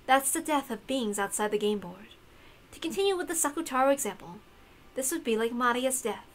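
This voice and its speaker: A woman voicing a solemn, knowing witch in a visual novel, measured and didactic. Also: high voice, expressive. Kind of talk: acted visual novel dialogue.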